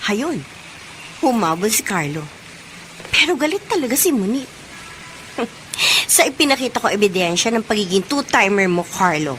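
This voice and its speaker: A woman's voice: mid-range voice, sing-song, normal speed, long pauses, a bit bright, a little hiss or hum. An actress playing a gloating young female friend in a Filipino radio drama.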